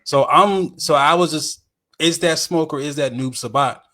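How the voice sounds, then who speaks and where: A man co-hosting a podcast, chatting casually and explaining things haltingly, often repeating himself. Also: on the high side, pushed and bright. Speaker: podcast co-host. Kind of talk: casual chat, explaining game trivia.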